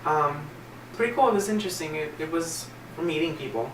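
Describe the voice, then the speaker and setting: A young man from the US South with an American accent, talking informally and a little haltingly about himself in a candid documentary interview. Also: on the high side, expressive. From US South, Virginia and North Carolina. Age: young adult. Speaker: interviewee, model. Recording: distant roomy mic, faint noise.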